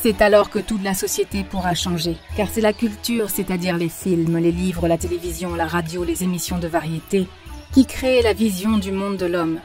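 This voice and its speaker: An actress playing a wise spiritual teacher who narrates and preaches earnestly in an animated film. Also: female voice, lowish voice, lightly acted. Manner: earnest, preachy, addresses listeners as darlings.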